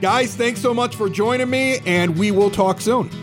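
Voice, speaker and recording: High-voiced enthusiastic male show host. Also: normal speed, music in the background. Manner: enthusiastic, informal. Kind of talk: casual interview chat.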